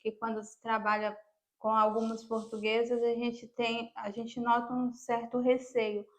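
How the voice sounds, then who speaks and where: A woman's voice: fairly high pitch, little pitch movement, unhurried. A Brazilian woman talking casually as a podcast guest, sharing personal experience.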